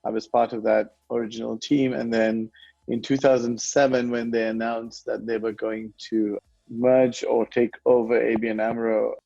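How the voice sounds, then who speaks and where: A man's voice: mid-range voice, flat delivery, moderate pace, creaky, mellow, dry recording, highs cut off. A man in his forties from Sri Lanka, a guest in a conversational interview who talks in a rambling way, corrects himself and chuckles often. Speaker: guest, wealth management founder.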